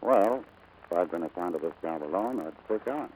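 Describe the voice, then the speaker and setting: A man acting a brash, lovestruck American navigator in 1940s radio drama style, brisk, cocky and insistent. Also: deep voice, expressive, narrow, tinny audio, slight background noise. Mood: steady, reassuring.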